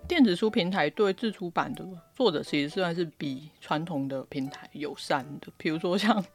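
A woman's voice: fairly low pitch, normal speed, faint music behind. A woman with a Taiwanese Mandarin accent chatting casually on a podcast in a wry, joking manner. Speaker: podcast host and author.

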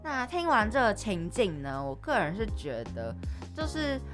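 A woman's voice: mid-range voice, huge pitch swings, normal speed, over music. A woman co-hosting a podcast, chatting casually about everyday topics. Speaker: podcast co-host. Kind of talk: casual scripted-topic chat.

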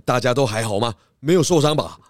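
A man's voice: medium pitch, expressive, quick pace, dry recording, clean studio sound, close to an everyday voice. A man playing a concerned, knowledgeable detective in a children's audio drama, explaining things earnestly.

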